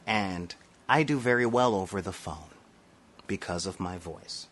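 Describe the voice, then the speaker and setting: Male vlogger, nasal timbre, somewhat low voice, forceful rhetorical delivery straight to camera. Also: a little slow. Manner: emphatic, rhetorical asides, frequent "all right?". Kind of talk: impassioned monologue to camera.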